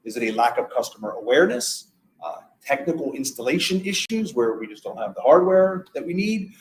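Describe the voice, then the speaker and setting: A man with a mid-pitched voice gives a webinar presentation as a product expert. He explains practical steps in a conversational, instructional way. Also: very animated, a little fast, rough recording.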